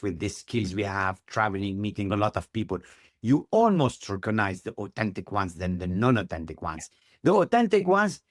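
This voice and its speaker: Man, middle-aged, halting upbeat English, dry close sound. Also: medium pitch, dry recording. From Tunisia. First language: Arabic. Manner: halting non-native English, earnest and upbeat. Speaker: guest. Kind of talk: interview answers.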